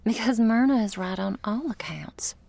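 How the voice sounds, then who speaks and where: A woman's voice: medium pitch, moderate pace, darkish tone, no echo, close to an everyday voice. A woman playing a teasing, doting female vampire lover in an intimate ASMR roleplay, with whispery asides and quaint, affectionate wording. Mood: tender, protective. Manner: breathy asides, pet names, quaint turns of phrase.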